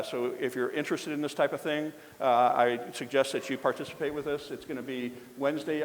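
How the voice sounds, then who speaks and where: A man with a mid-pitched voice addresses a public forum. He talks haltingly and breaks often with fillers and restarts. His voice carries a long hall reverb. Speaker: forum presenter and moderator. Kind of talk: public presentation.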